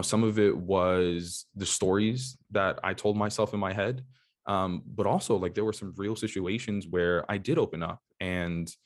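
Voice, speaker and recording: A podcast host chatting casually, affirming the guest and asking questions with many restarts. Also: low voice. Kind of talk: casual interview conversation.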